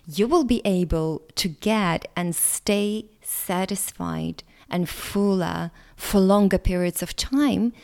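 Slow-speaking Russian-accented woman. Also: medium pitch. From Latvia. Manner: earnest, explanatory, occasional soft laughs. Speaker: nutrition coach. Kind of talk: solo podcast monologue.

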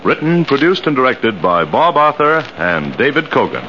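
Animated old-time radio announcer, male. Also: mid-range voice, normal speed. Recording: dull old radio broadcast, loud music.

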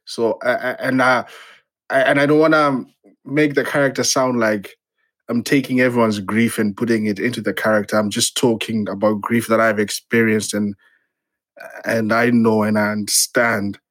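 A man's voice: on the low side, steady tone, fairly bright tone. Hesitant, reflective man from Zimbabwe. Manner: hesitant, halting, many restarts and fillers. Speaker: playwright guest. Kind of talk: reflective interview conversation.

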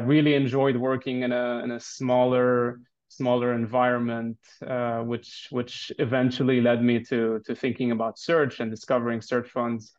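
A man from Lebanon, a guest introducing himself in an interview, in a matter-of-fact way. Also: mid-range voice. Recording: dull lo-fi remote audio.

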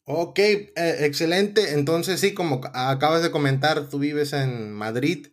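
A man's voice: a little high, a little slow. A man with a Mexican accent hosting a casual podcast interview, chatty and rambling, with many restarts. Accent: Mexican Spanish. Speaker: podcast host.

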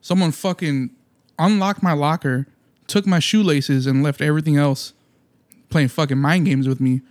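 A man's voice: a little high, moderate pace. A man in his thirties from the Munster area, telling rambling, profane stories in casual podcast chat. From Munster, Indiana area.

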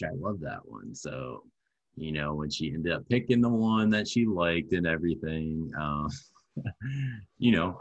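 Very deep-voiced laid-back man. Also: a bit bright, no echo, poor audio. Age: 20s. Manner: laid-back, laughing, swearing often. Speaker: guest. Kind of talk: casual chat.

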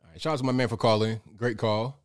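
A man hosts a call-in show and moves quickly from caller to caller. His delivery is rapid and friendly. The sound is dry and close, with a mid-pitched voice. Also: steady tone, smooth, no echo. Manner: quick, friendly, brief replies. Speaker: host.

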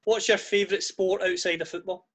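A man's voice: high-pitched, steady tone, brisk, fairly bright tone. A man hosting an interview, asking questions in a friendly, upbeat way. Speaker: interviewer, podcast host.

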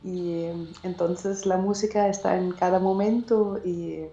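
Soft-spoken German woman, slow and mellow. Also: on the low side, steady tone, roomy sound, lo-fi sound. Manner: hesitant, searching for words, soft-spoken. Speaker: guest singer-songwriter. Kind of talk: casual interview.

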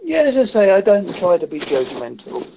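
Gravelly high-voiced man in his fifties. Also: lo-fi sound, slight background noise. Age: 50s. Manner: calm, measured, reflective. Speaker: video call guest. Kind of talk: casual conversation. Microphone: video call.

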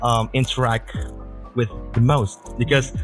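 Young indonesian-accented man, deep bass voice, halting with repeats, casual chat, faint music behind. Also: steady tone. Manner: halting, repeats words while thinking. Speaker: interviewee. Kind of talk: casual conversation.

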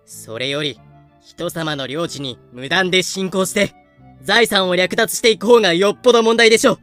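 A woman's voice: fairly low pitch, moderate pace, fairly bright tone, faint music behind, close to an everyday voice. Woman acting a beleaguered, earnest colonial official. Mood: defensive. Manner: earnest, explanatory, aggrieved. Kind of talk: fan-made audio drama acting.

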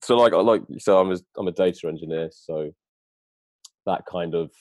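A man's voice: low-pitched, normal speed, a little mellow, no room sound. A man being interviewed as a guest, talking casually and filling his sentences with "like" and "kind of". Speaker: guest, data engineer.

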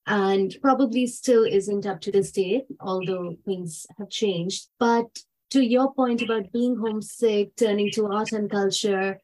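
A woman's voice: medium pitch, a little slow, hardly any pauses, darkish tone, low-quality recording. A woman hosting an interview podcast, responding in a thoughtful, affirming way. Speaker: podcast host.

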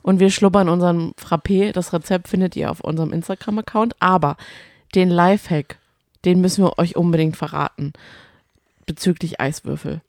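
A woman with a fairly low voice co-hosts a casual podcast. She talks in a loose, colloquial, rambling storytelling style. The sound is very dry, with no room around it. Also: steady tone, normal speed, darkish tone, no echo. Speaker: podcast co-host. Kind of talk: casual chat.

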